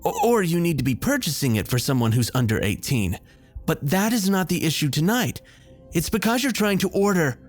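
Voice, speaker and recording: A man voicing a flustered, polite drive-thru worker in a story, hesitant, stammering and hurried. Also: a little high, big pitch swings, soft background music, pitched above the performer's own voice. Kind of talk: story dialogue.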